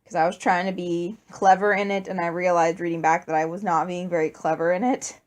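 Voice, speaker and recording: A woman's voice: lowish voice, level tone, fairly quick. A woman reading and chatting as an amateur fan fiction writer and narrator. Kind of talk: scripted story narration and casual chat.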